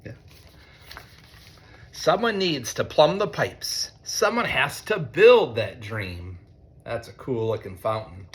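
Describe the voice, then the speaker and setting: An American man, a teacher, reads a picture book aloud to children in an expressive way, with conversational asides. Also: medium pitch. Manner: expressive, deliberate when reading, conversational in asides. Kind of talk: read-aloud storytelling with chatty asides to children.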